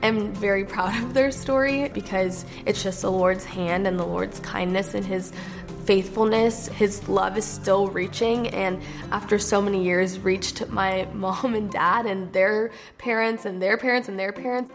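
A young woman telling her story in an animated way, quoting what others said to her. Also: medium pitch, a little slow, over music. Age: young adult. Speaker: interviewee. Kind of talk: casual personal story.

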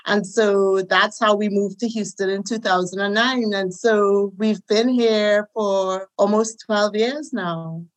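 A woman with a Trinidadian accent, a guest recounting her life in a relaxed interview, with soft laughs as she talks. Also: medium pitch, slow pace, hardly any pauses, poor audio. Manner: warm storytelling with soft laughs. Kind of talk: conversational interview.

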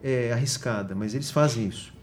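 A man with a Brazilian Portuguese accent, a university guest in an interview, explaining in an earnest, measured way with frequent hesitations. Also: medium pitch, a little hiss or hum.

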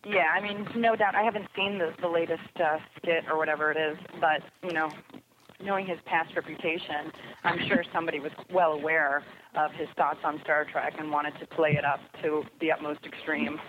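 A woman's voice: low voice, fairly even intonation, a little fast, phone-quality sound, a little hiss or hum. A woman giving casual, opinionated commentary as a podcast guest, heard over a remote call connection. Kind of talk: casual opinionated panel chat. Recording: thin band-limited phone or radio-like line.

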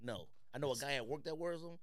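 A man's voice: medium pitch, fast, crowd noise. A man co-hosting a podcast in casual chat, speaking bluntly with slangy, opinionated banter. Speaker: podcast co-host.

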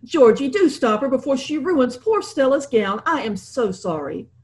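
A woman's voice: sits high, very animated, moderate pace, pitched above the performer's own voice. A prim, fussy young fiancée who is sweetly polite on the surface, as a woman reads her part. She sounds clipped and proper in this storybook scene.

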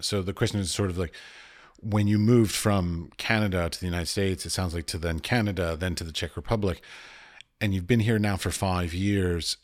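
A man in his forties hosting a podcast interview, candid and searching, with halting phrasing. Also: low-pitched. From Washington, D.C. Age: 40s. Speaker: podcast host. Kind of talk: conversational interview.

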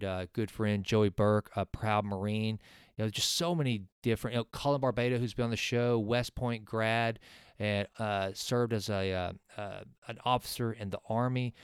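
A man's voice: lowish voice, clean tone, no echo, clean studio sound. A man in his forties hosting his own podcast, talking earnestly in a rambling way with many restarts. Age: 40s. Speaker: podcast host. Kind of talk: casual solo podcast talk with a sponsor read.

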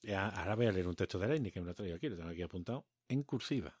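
A man's voice: low-pitched, expressive, rapid-fire, darkish tone, husky, no echo, studio quality. A man co-hosting a podcast, explaining ideas at length in a casual, playful way with fillers and restarts. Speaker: podcast co-host explaining philosophy.

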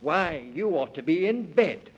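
A man playing a mean old miser in a 1930s children's radio serial, scoffing in curt, mock-polite rhymes with a husky voice. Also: medium pitch, expressive, a little slow. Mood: scornful. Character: mean, dismissive old miser guarding his rubies. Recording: muffled 1930s radio recording.

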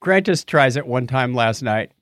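A man hosting a lighthearted tech podcast with playful, animated banter. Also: mid-range voice, normal speed, no room sound, clean studio sound. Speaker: podcast host.

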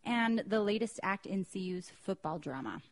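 American woman hosting a podcast, chatty, normal mid pitch. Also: expressive, moderate pace. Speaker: podcast host. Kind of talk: hosting a local news and culture podcast, casual chat.

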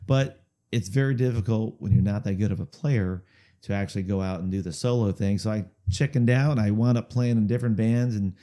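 A man chatting in an interview about his music, talking in a halting way with lots of fillers, restarts and repeated words, chuckling now and then. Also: fairly low pitch, warm tone. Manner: chuckles through stories. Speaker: musician guest. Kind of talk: casual rambling interview storytelling.

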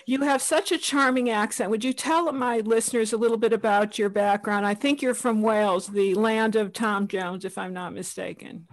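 A woman's voice: medium pitch, fairly even intonation, moderate pace, few pauses, no room sound, rough recording. A woman hosting a nutrition podcast, introducing her guest and asking questions in an enthusiastic, effusive way. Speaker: podcast host. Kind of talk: podcast interview.

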